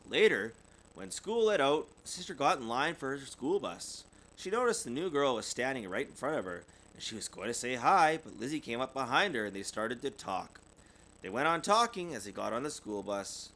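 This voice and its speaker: A man's voice: mid-range voice, fairly bright tone, low-quality recording. A man reading a children's story aloud expressively, like a parent, with the occasional stumble over words. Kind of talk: animated storybook narration.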